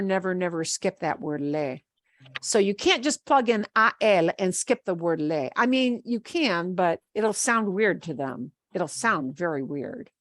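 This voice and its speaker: Slow, patient Midwestern woman teacher. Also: medium pitch, no echo, studio quality. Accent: American Midwestern. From American Midwest. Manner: patient, explains slowly with restarts and fillers. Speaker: Spanish teacher. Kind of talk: online class lesson.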